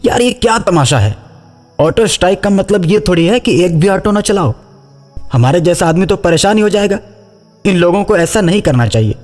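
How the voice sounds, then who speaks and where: A man playing a chatty, teasing young auto passenger in a cartoon story, animated, joking and complaining. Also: high voice, normal speed, big-room sound, faint music behind. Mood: annoyed.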